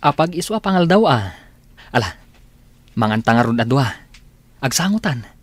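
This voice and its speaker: A man's voice: medium pitch, big pitch swings, normal speed. An Ilocano Filipino man playing a lovelorn, good-natured young village suitor in a radio drama. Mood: friendly.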